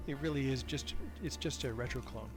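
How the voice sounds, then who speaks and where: A man's voice: medium pitch, a little fast, over music. An older man chatting casually as a podcast guest, talkative and self-deprecating. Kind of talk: casual interview chat.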